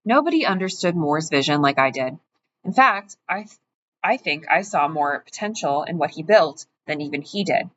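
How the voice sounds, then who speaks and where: A woman's voice: low voice, expressive, normal speed, fairly bright tone. A woman voicing a smug, pompous wealthy middle-aged man in an audiobook reading, talking boastfully and self-importantly. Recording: dull, muffled audio with faint hiss.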